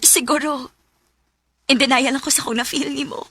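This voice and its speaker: High-voiced, tender young Filipino widow. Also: female voice, fairly even intonation, moderate pace, a bit bright, no room sound. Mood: tender, confessing. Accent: Cebuano Filipino. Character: young widow, guilt-ridden and tender, newly in love. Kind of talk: Philippine radio drama acting and first-person narration. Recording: music bed under the voice.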